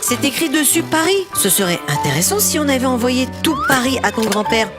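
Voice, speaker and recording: A woman's voice: high voice, big pitch swings, brisk, over loud music. A woman voicing a kind, caring young boy train in a children's cartoon, earnest and friendly. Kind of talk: children's cartoon dub acting.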